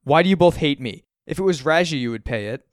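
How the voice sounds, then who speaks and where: A man's voice: on the high side, expressive, slow pace, dry recording, clean studio sound, voice pushed up. A man voicing a dramatic, spoiled grown son in an audiobook, emphatic, indignant and pleading. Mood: desperate, lashing out. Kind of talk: audiobook character dialogue.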